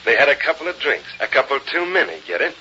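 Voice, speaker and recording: An actor playing a gruff, cocky American gang boss giving slangy, clipped orders in an old radio crime drama. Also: male voice, on the high side, big pitch swings, quick pace, sharp tone, full character voice. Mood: cold scheming. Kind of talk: 1930s-40s radio crime drama acting. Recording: dull, noisy old radio recording.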